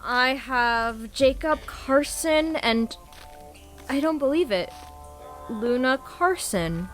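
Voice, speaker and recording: A woman plays an observant crime scene officer in a horror audio drama. Her voice is on the high side and matter-of-fact, with faint music underneath. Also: expressive, takes it slowly, low-quality recording. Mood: uneasy.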